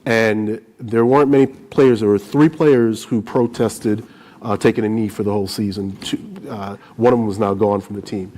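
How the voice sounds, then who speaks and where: A man's voice: on the low side, fairly even intonation, moderate pace, a little mellow. A man moderating a live panel discussion in an informal, conversational way.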